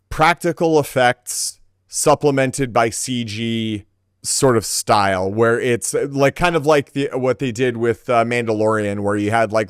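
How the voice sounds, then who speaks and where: A man's voice: mid-range voice, clean tone, no room sound, clean studio sound. A man co-hosting a casual gaming podcast, talking with enthusiasm and wordy phrasing. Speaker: podcast co-host.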